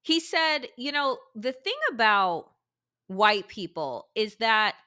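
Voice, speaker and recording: A woman's voice: fairly high pitch, big pitch swings, slow pace, sharp tone. A woman hosting a casual political chat, speaking emphatically and frankly. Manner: emphatic, forthright commentary. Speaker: podcast host.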